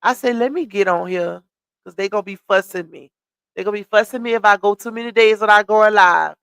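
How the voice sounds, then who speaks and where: A woman's voice: mid-range voice, fairly quick, gravelly, fairly bright tone, clean tone, no echo. Chatty woman hosting a gossip live stream. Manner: chatty, reads out comments and greets viewers. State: losing her voice.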